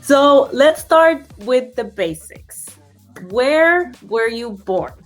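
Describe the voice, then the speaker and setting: A woman's voice: high voice, lively intonation, very slow, soft background music. A woman hosting a casual interview, friendly and laughing, with many fillers. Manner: friendly, laughs between questions, uses fillers. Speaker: interview host.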